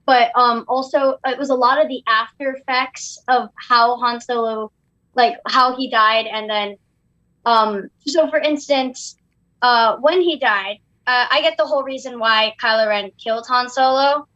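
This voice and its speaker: A woman's voice: fairly high pitch, fairly even intonation, measured pace, sharp tone. A young woman in casual, opinionated chat with a hesitant, rambling delivery. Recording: dull, roomy sound.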